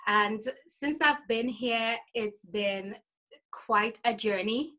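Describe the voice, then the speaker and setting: A woman in her forties with a Trinidadian accent, answering interview questions casually, often pausing to find her words. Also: fairly high pitch, sharp tone. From Trinidad and Tobago. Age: 40s. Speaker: interview guest, life coach.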